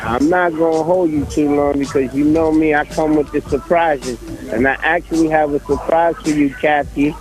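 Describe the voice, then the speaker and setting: A man's voice: a little high, fairly even intonation, normal speed, darkish tone, soft background music, rough recording. A man calling in to a radio show by phone and chatting casually. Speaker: caller. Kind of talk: casual chat. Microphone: phone call.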